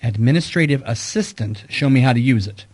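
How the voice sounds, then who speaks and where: A man's voice: mid-range voice, expressive, a little fast, darkish tone, close to an everyday voice. Male private detective , played by an actor, self-assured and dryly wry, audio mystery drama. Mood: confident, pointed.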